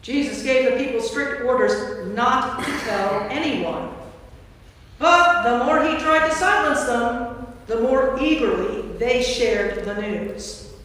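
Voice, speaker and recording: A woman's voice: fairly high pitch, lively intonation, slow, fairly bright tone. A woman giving scripted narration for a staged scripture reading in a church. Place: inside a large church. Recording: distant, very echoey church hall.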